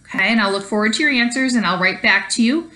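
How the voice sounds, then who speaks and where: A woman's voice: fairly high pitch, quick pace, sharp tone, poor audio. A woman teacher reading a children's story aloud expressively and explaining it to young pupils. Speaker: elementary school teacher.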